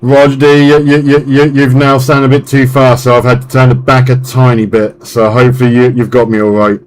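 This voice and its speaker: A man's voice: mid-range voice, little pitch movement, brisk. An English man running a CB radio net, chatting in a matey, colloquial way. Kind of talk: casual CB radio chat. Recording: loud radio static and hiss. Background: constant sideband static noise.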